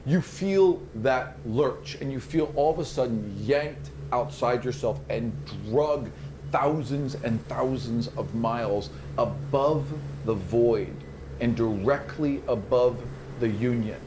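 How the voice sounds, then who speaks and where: A man's voice: medium pitch, takes it slowly. A man speaking as a game master, narrating and chatting conversationally with players at a tabletop game. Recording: clear background noise.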